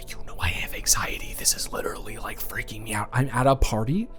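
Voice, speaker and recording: A young man playing an anxious, flustered student with a crush in an intimate, conversational audio roleplay, talking in an awkward, halting, rambling way. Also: mid-range voice, big pitch swings, fairly bright tone, in a whisper, soft background music. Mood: nervous, flustered.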